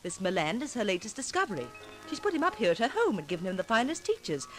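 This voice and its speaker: An actress playing a poised society woman, polite and gently chiding, in 1940s radio drama style. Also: medium pitch, wildly swooping pitch, quick pace, soft background music. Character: poised, tactful society woman, companion to hero.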